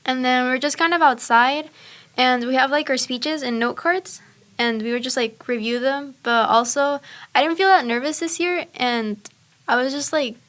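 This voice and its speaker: A woman's voice: high voice, normal speed, fairly bright tone. A teenage girl whose first language is Spanish, telling a story in a chatty way with lots of fillers. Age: teens. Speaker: student speech competitor. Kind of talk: casual chat.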